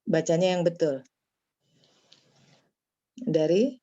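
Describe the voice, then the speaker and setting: A woman teaching Arabic in an online class, correcting a student's reading and drilling pronunciation slowly and firmly. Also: fairly low pitch, warm tone, no room sound, lo-fi sound.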